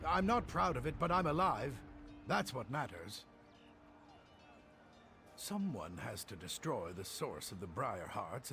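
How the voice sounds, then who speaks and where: A man's voice: mid-range voice, vocal fry, fairly bright tone, slight background noise, exaggerated voice. A man voicing an anxious, bookish alchemist in a video game, earnest and scholarly but cowardly. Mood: relieved, shaken.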